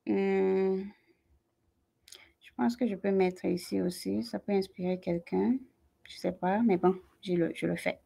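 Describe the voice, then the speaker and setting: A woman with a Cameroonian French accent telling her personal story on a live stream, animated and talking straight to her listeners. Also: mid-range voice, measured pace, gravelly, long pauses.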